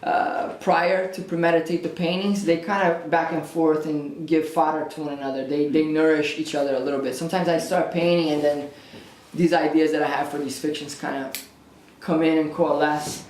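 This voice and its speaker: A man's voice: a little high, fairly even intonation, moderate pace, darkish tone. Thoughtful man from Brazil, echoey room. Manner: thoughtful, searching for words. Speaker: painter. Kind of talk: interview talk.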